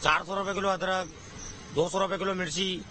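A young male market vendor, his voice a touch higher than most men's. He answers matter-of-factly into a reporter's mic, with faint outdoor market noise behind him. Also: steady tone, moderate pace, sharp tone. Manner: plain matter-of-fact listing of prices. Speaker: vegetable vendor. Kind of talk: vox pop answer. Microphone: reporter's handheld mic.

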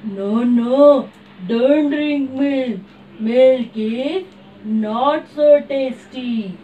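A woman voicing a noodles puppet in a low, drawling way. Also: very slow, phone-quality sound, a little hiss or hum. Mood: luring. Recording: distant room mic.

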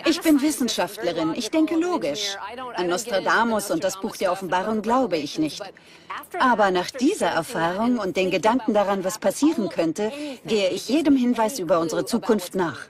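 A woman's voice: mid-range voice, animated, moderate pace, crowd noise, lightly acted. A woman speaking conversationally as a scientist in a dubbed documentary.

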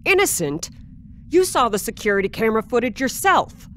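A woman playing a blunt, impatient security officer in an audio drama, gruff and belligerent. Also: fairly high pitch, sing-song, fairly quick. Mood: angry. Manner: gruff, plain-spoken, belligerent.

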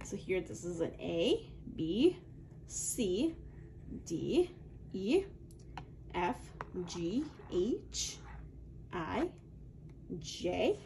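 A woman's voice: on the low side, sing-song, drawn-out words, long pauses, warm tone, a little hiss or hum, rough recording. A woman reading aloud to young children in an instructional way, adding her own asides and stretching out key words. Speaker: children's book reader.

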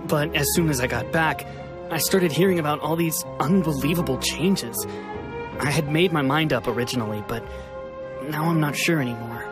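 A man's voice: a little high, normal speed, natural voice. A man playing an earnest, polite young fugitive in a video game, troubled and hesitant. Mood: troubled, grateful. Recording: music under the voice.

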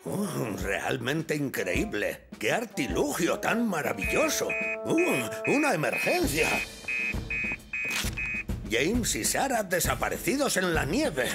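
A proud, blustering older fire chief in a kids' cartoon. The voice is high for a man and gravelly, with slow, pompous delivery over music. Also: wildly swooping pitch, full character voice. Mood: excited, commanding. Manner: blustering, throat-clearing, pompous. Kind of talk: animated children's cartoon dub.

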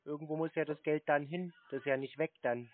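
A man's voice: a little high, fairly even intonation, quick pace, a loud crowd. A man on an improvised prank phone call, asking short, repetitive questions in a feigned naive, needling manner. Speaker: prankster who baits phone scammers. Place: telephone call. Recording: thin dry phone-like sound.

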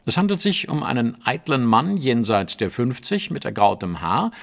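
Self-assured genius detective, audio drama; precise lecturing delivery with lively, swooping intonation. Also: male voice, mid-range voice, normal speed, creaky. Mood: grave, deductive. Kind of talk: German audio drama acting.

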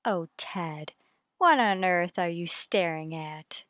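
A woman's voice: on the low side, slow, fairly bright tone, dry recording, clean studio sound. A woman playing a secretive, sweetly domestic suburban wife in a radio play. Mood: teasing.

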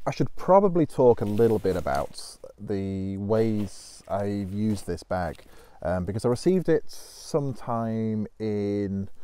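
A man from Britain in his thirties gives a casual gear review while sitting in autumn woodland. His voice sits a little low and sounds mellow and unforced. He talks in a practical, easygoing way, often pausing on "um" and restarting his sentences. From United Kingdom. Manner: relaxed, practical, with frequent ums and restarts. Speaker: outdoor skills instructor reviewing gear. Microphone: camera at a distance, seated on the ground.

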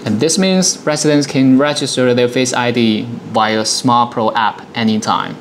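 A man in his thirties presenting a software demonstration, explaining things step by step in a dialogue. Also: medium pitch, fairly even intonation, moderate pace, a little hiss or hum. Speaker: product presenter.

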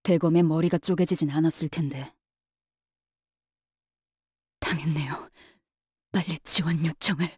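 A young swordswoman bodyguard from a video game, acted by a woman, speaks in a soft-edged, mellow tone at a middle pitch. She is curt yet polite and dryly sardonic. The sound is close and dry, with no room on it. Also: moderate pace, dry recording, lightly acted. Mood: hurt, strained. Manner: polite but curt, matter-of-fact, faintly sardonic. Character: brisk, dry-witted young woman knight-bodyguard with a greatsword. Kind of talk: scripted game character voice lines.

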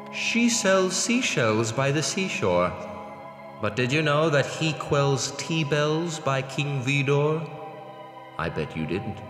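Sinister announcer, deepish voice, playfully macabre, long reverb over music. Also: male voice, exaggerated voice. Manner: slow, ominous, relishing wordplay. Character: sinister rhyming horror announcer, playfully creepy. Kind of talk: theatrical horror show introduction. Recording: echoey long reverb, loud music bed.

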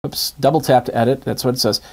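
A man's voice: mid-range voice, fairly even intonation, fast talker, a little mellow, breathy edge. A man hosting an app tutorial in a casual, conversational, step-by-step way. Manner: conversational, informal, explains step by step. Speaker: host of an app review web show. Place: small indoor home studio. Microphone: lapel mic.

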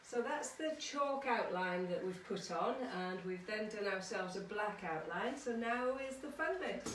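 A woman's voice: medium pitch, expressive, a little slow, fairly bright tone. A middle-aged woman giving a casual art demonstration for children, chatty and encouraging, often checking in with her listeners. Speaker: artist leading a children's art workshop. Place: small conservatory-style home studio. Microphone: camera or phone a short distance away. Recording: roomy, distant mic, some background noise.

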